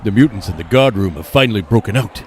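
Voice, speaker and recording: A man's voice: on the low side, expressive, fast talker, lightly acted. A man playing a tense human defender in a radio play, with an urgent, dramatic delivery over a noisy recording. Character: tense male human defender shouting urgent battle reports.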